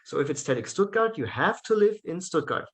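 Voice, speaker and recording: German man telling his story with halting pauses. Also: fairly high pitch, big pitch swings. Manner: hesitant, many fillers and restarts, laughs often. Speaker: guest, event organizer. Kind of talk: casual interview chat.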